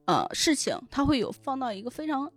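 Female podcast guest, higher-pitched voice, chatty and explanatory, casual conversation over background music. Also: very animated, a little fast. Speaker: guest, web-fiction editor. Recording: music behind the voice.

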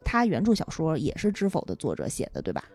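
A woman with a husky voice hosting a casual podcast, summing up and putting questions, with music behind her. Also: deep voice, huge pitch swings, quick pace, a little mellow. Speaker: podcast host. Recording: music under the voice. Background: background music.

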